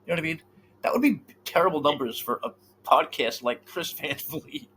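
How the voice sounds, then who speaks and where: A man talking casually as a podcast co-host, with a lively voice that swings up and down in pitch. He swears freely and often trails off mid-thought. A faint hiss of noise sits under the recording. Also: brisk, poor audio.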